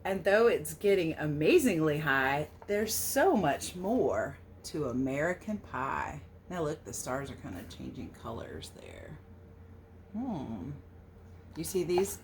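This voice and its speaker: Animated, lowish-voiced woman reading to children. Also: measured pace, a little hiss or hum. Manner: animated, questioning, sing-song verse delivery. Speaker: teacher reading a picture book to children. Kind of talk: expressive read-aloud with casual asides to young listeners.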